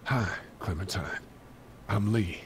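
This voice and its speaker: An actor playing a wary but gentle man in his late thirties in a zombie video game, speaking in a hesitant, low-key way. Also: slow, slight background noise. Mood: calm, careful. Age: 30s. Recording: game audio with loud background ambience.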